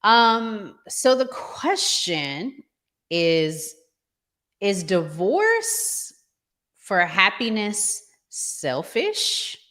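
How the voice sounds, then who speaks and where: A woman hosting a casual relationship podcast, speaking in colloquial, deliberate phrases. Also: mid-range voice, sing-song, a bit bright. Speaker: podcast host.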